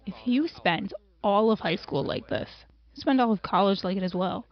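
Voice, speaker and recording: A woman's voice: mid-range voice, animated, normal speed. A young woman playing a blunt teenage girl who lectures her friend, in an audio drama. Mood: lecturing. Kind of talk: amateur audio drama acting. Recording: muffled lo-fi recording.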